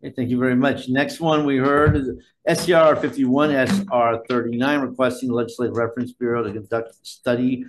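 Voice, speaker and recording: A man's voice: medium pitch, fairly even intonation, brisk, a little hiss or hum, rough recording. Man chairing a committee hearing. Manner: conversational, thinks aloud with fillers. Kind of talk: formal hearing proceedings. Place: legislative hearing room. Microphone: desk microphone.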